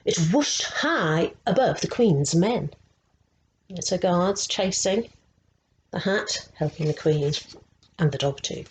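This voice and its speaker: Lady reading a kids' picture book, slow and kind of low, asks the little ones questions. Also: slight background noise, rough recording. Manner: slow and expressive, asking the young listeners questions. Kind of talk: children's story reading.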